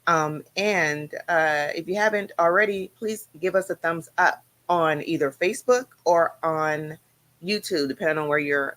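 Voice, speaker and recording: Bright upbeat woman co-host. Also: deep voice. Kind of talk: livestream announcements.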